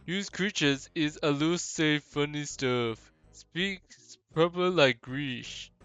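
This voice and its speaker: A man's voice: on the high side, a bit bright, smooth, muffled recording, faint music behind, pitched above the performer's own voice. A man voicing a simple-minded, earnest ogre in a video game, warning people in childlike broken English. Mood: earnest, concerned. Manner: slow, childlike broken grammar. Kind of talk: hobby game voice acting.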